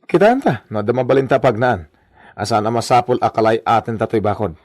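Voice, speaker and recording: A man playing a boisterous, boastful, bossy male character in an Ilocano radio comedy, with an Ilocano accent and a loud, exclaiming delivery. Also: medium pitch, lively intonation, a little fast, no room sound, clean studio sound. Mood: cocky, scheming.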